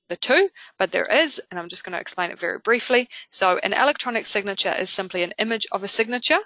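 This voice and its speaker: A woman with a New Zealand accent presenting a product webinar in a conversational way, often pausing with fillers. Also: fairly low pitch, sharp tone, no echo, poor audio. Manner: conversational, with frequent filler pauses.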